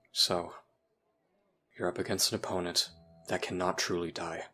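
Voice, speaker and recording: A man's voice: low-pitched, sharp tone. A man acting in an audio roleplay as a jaded, sardonic human youth trapped in a time loop, talking conspiratorially and swearing casually.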